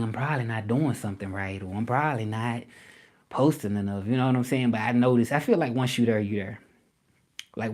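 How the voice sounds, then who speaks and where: Man in his own livestream, mid-pitched, casual and repetitive, addresses viewers directly. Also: a little mellow. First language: English. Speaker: livestreaming creator and language tutor.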